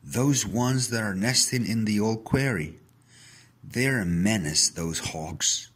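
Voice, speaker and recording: A man voicing an excitable, sick old grandfather in a children's storybook. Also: fairly low pitch, takes it slowly, breathy edge, voice pushed up. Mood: indignant. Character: agitated sick old grandfather in hospital bed. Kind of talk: children's storybook read-aloud.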